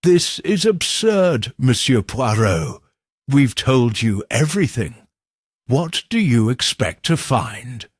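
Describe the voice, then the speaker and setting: Man voicing sharp, impatient studio woman, lively pitch. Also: slow pace, no echo. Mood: indignant, sharp. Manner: curt, indignant. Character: sharp, impatient female radio studio engineer.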